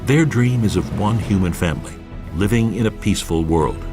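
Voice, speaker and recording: A man's voice: deep voice, brisk, over music. A man reading scripted narration for a documentary.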